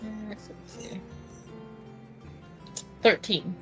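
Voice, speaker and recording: A woman's voice: extremely slow, soft background music. A woman talking casually as a player in a tabletop roleplaying game.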